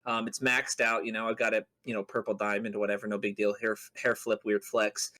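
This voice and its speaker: A man's voice: lowish voice, level tone, a little fast, pushed and bright. A man chatting casually as a podcast co-host, telling stories in a rambling way with playful mock boasting. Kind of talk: casual chat on a gaming podcast.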